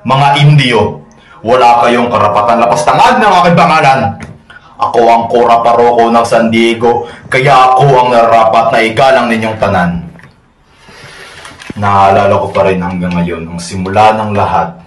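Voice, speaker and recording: A man's voice: medium pitch, normal speed, natural voice. A young Filipino man playing an arrogant, domineering Spanish colonial friar in a theatrical monologue, pompous and scolding. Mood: scolding, indignant. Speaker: student performer of a monologue. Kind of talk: theatrical Tagalog stage monologue. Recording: echoey room recording.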